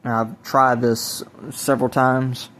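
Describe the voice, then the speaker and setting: A man talks in a rambling, personal way, like someone recording his own testimony. His pitch sits in the middle and barely moves. He is halting, often repeating and restarting his phrases. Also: little pitch movement, fairly bright tone. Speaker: self-described evangelist recording a personal video. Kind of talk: rambling spoken testimony to camera or phone.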